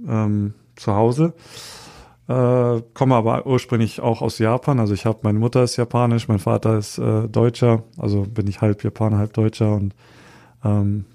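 A man's voice: on the low side, vocal fry, dark tone. A man in his thirties from Hamburg, a guest on a podcast, talking casually and hesitantly with many fillers. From Hamburg, Germany. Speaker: podcast guest, street photographer. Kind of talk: casual interview chat.

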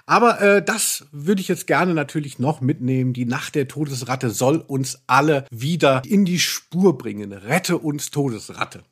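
A man's voice: a little high, unhurried, hardly any pauses, fairly bright tone, breathy edge. A man co-hosting a podcast in casual chat, with a wry, self-deprecating, ironic manner. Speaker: podcast co-host.

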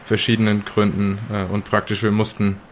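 An evasive ferryman rescued at sea, acted by a man in an improvised radio play. He is terse and hesitant, in a low, flat voice with faint background noise. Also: brisk, natural voice. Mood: evasive, subdued. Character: evasive, reticent male rescued ferry worker. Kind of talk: improvised in-character audio drama retelling.

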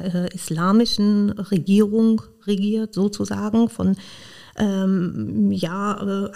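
An Iranian-born woman talks in a relaxed interview. Her voice is mellow and has a gravelly edge. She stops often to search for words and fills the gaps with little sounds. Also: medium pitch. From Iran. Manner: hesitant, many fillers. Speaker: podcast guest, social worker. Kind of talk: casual interview talk.

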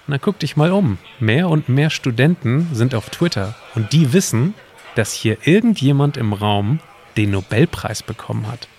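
A man's voice: mid-range voice, lively intonation, moderate pace, darkish tone. Man explaining things as audio play host. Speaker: podcast host and co-creator of an exhibition audio play. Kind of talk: semi-scripted audio play narration and dialogue.

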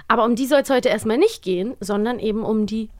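A woman's voice: fairly high pitch, quick pace, darkish tone, no room sound, clean studio sound. Chatty German woman co-hosting a podcast. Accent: standard German. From Rhineland, Germany. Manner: chatty, explanatory. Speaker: podcast host; voice actor. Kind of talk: casual podcast chat about audio dramas.

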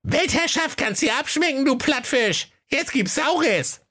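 Super squeaky rowdy little dwarfs, cheeky storybook gang. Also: male voice, lively intonation, measured pace, exaggerated voice. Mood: taunting. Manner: squeaky, rowdy, shouty slang.

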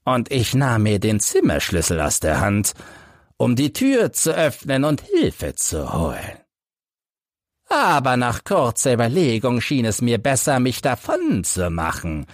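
A man voicing an embittered old crippled soldier who tells his tale in a halting, dramatic way for an audiobook. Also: medium pitch, wildly swooping pitch, no room sound, clean studio sound, voice pushed up. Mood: tense, hurried. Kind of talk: audiobook character reading.

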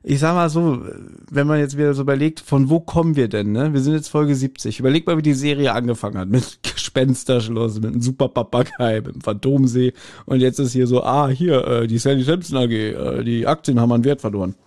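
A man's voice: medium pitch, fairly quick, darkish tone. A man co-hosting a podcast, casually retelling and reviewing a story in a colloquial, opinionated way. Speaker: podcast co-host.

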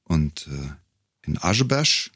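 A middle-aged man, telling stories casually as a podcast guest and pausing to pick his words. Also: extremely low pitch, very animated, fairly bright tone, slightly rough, dry recording, clean studio sound. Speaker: podcast guest, singer and professional voice-over speaker. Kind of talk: casual conversational storytelling.

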